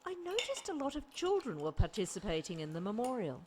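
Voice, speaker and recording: A woman's voice: medium pitch, huge pitch swings, fairly quick, crowd noise. A woman playing a homely 1940s mother in a radio play.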